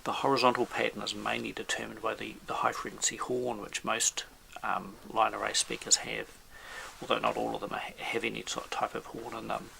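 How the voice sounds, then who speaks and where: A man giving an informal technical explainer as an expert presenter, in a halting, measured way. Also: lowish voice, level tone, vocal fry, sharp tone, a little hiss or hum, low-quality recording.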